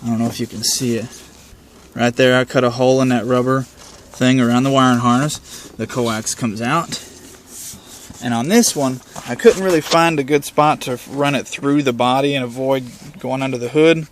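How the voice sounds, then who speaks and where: A man with a medium-pitched voice presents a casual how-to demonstration. He talks in plain, colloquial language, explaining each step as he goes without a script. The recording carries faint background noise. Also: steady tone, normal speed. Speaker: presenter of a hands-on installation guide.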